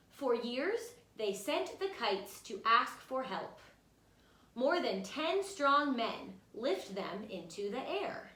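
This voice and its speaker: A woman reads a children's picture book aloud in an echoey room. Her voice is fairly high and swings up and down in an animated way. She speaks as a teacher guiding young listeners along. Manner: expressive, instructive, addresses young listeners directly. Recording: roomy, echoey room sound.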